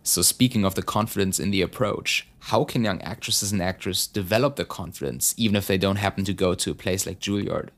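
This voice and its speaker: A man interviewing a guest, asking measured, encouraging questions. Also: deep voice, fairly bright tone.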